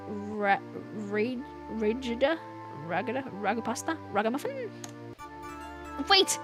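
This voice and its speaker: A young woman streamer with a hoarse, worn voice, reading a dating game aloud and chatting casually to viewers. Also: a little high. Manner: casual, playful, trailing off with restarts. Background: music.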